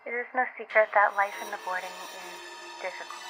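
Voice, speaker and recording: A woman's voice: mid-range voice, steady tone, fairly quick, close to an everyday voice, low-quality recording. A woman playing a huge, sickly matron in a horror game, sweetly sinister, with formal, grandiose speech full of endearments. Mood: solemn, coaxing. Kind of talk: theatrical horror game voice acting. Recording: music behind the voice.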